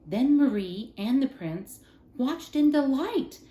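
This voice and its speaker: A woman reading a children's story aloud as a storyteller, in an expressive, dramatic style. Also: high voice, slow pace, a little hiss or hum. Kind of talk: scripted children's storybook narration. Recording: roomy, echoing room sound.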